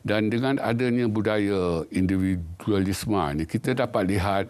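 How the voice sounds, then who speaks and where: A man from Malaysia, a professor guest on a studio panel discussion, speaking in a measured, thoughtful way with frequent hesitations. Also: fairly low pitch, a little mellow.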